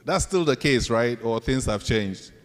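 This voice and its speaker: A man's voice: medium pitch, lively intonation, measured pace. A man with a Ghanaian accent giving a storytelling leadership talk to students as a professor, acting out bits of dialogue in an anecdotal way. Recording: echoey hall with long reverb.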